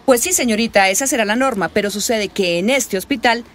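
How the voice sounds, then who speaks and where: A woman's voice: a little high, a little fast, a bit bright, slight background noise, lightly acted. A woman playing a haughty, obstructive hospital director in a radio drama. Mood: dismissive.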